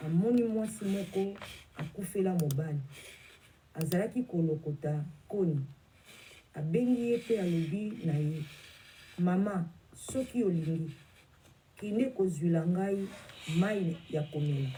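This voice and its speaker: Slow, dark-voiced woman reading scripture. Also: fairly low pitch, slight background noise, rough recording. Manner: measured, careful reading with small self-corrections. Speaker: scripture reader. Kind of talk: slow Bible reading aloud.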